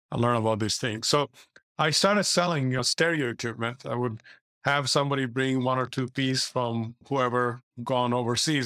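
A man's voice: medium pitch, a bit bright, no room sound. A man in his fifties from Bangladesh, a guest telling his story in a plain, matter-of-fact way with small restarts. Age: 50s. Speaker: guest, entrepreneur and author. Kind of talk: casual interview answers.

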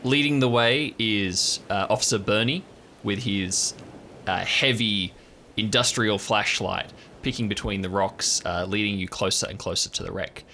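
Male game master host, lowish pitch, forceful bright tone, for improvised story narration. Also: normal speed, slight background noise. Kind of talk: hosting and narrating improvised audio drama.

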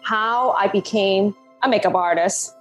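A woman in her thirties from Hong Kong, a Cantonese native speaker with a Hong Kong English accent, telling her story as a podcast guest in animated, laugh-filled talk. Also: mid-range voice, a little slow, soft background music. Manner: animated, laughs often, non-native phrasing. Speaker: guest, life coach. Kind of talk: podcast interview.

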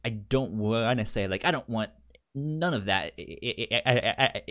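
A man's voice: lowish voice, lively intonation, moderate pace, a bit bright. A man co-hosting a book club podcast, chatting casually and often stammering on the first sound of words. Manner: stammers on word starts, repeats words. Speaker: podcast co-host.

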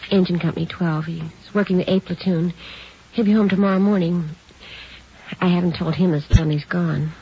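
A woman's voice: on the low side, fairly even intonation, moderate pace, breathy edge. An actress playing a worried but composed mother in her forties in an old radio drama, on a dull, hissy recording. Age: 40s. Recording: dull vintage radio recording with faint hiss.

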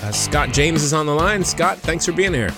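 An American man in his forties from Hawaii talking casually as a podcast host, often halting and restarting his thoughts. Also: fairly high pitch, expressive, music in the background. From Hawaii, United States. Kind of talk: casual conversational podcast talk.

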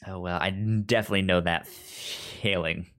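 A man's voice: deep voice, fairly even intonation, moderate pace, fairly bright tone. A man hosting a casual podcast, moderating the chat with brief, agreeable remarks. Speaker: podcast host.

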